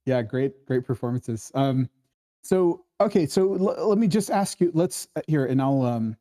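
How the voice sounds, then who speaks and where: A man hosting a casual screenwriting discussion, explaining in a halting way and often checking for agreement. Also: mid-range voice, lively intonation, dark tone. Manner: halting, explanatory, keeps seeking agreement with "right?". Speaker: host of a screenwriting table read.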